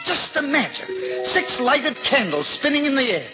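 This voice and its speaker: A humble juggler full of childlike wonder, his words rising high with eager excitement. This 1950s radio-play performance comes through a muffled old broadcast. Music plays behind him. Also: moderate pace. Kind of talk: 1950s radio drama acting.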